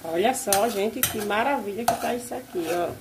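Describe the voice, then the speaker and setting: A woman's voice: mid-range voice, normal speed, noisy background. A woman chatting casually to her viewers while she cooks in a small home kitchen, filmed on a phone. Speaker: vlogger cooking at home. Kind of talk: casual cooking vlog narration. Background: loud sizzling pan and kitchen clatter.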